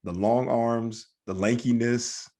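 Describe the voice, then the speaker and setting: A man's voice: medium pitch, fairly even intonation, slow pace, mellow. A man hosting a podcast and telling comic stories casually, repeating himself for emphasis. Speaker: podcast host and comedian. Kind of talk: casual chat, comic storytelling.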